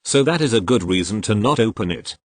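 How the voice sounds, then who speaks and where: A man reading online posts aloud as the regular reader of the channel, in an expressive storytelling way. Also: fairly low pitch, brisk, dry recording.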